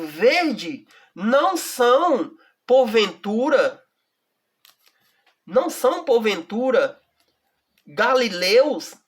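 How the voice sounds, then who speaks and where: A woman with a Brazilian accent teaching a Bible study, reading verses slowly and deliberately and often checking in with the listener. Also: on the high side, a bit bright. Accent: Brazilian Portuguese.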